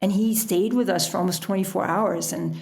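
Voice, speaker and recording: Woman, middle-aged, gravelly fry, mid-pitched, casual storyteller, animated interview guest. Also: fairly quick. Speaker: podcast guest, author and sailor.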